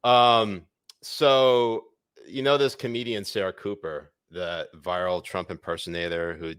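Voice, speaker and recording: A man's voice: on the low side, steady tone, unhurried, fairly bright tone, smooth, no room sound, studio quality. A man co-hosting a podcast, chatting casually and irreverently about the news with crude jokes. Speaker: podcast co-host. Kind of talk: casual comedic news chat.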